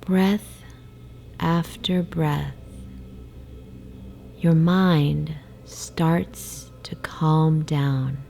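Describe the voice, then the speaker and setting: A woman guiding a sleep meditation in a soft, soothing, hypnotic way, with breathy phrase endings and long pauses. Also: sits low, fairly even intonation. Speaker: meditation guide. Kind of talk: guided sleep meditation, slow hypnotic narration. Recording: faint background noise, ocean waves. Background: ocean wave sounds.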